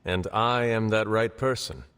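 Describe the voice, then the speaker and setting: An actor playing a grave, reserved ancient warrior in a game cutscene, formal and terse. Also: male voice, on the low side, unhurried, fairly bright tone, smooth. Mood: grave, questioning. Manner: formal, terse, few contractions.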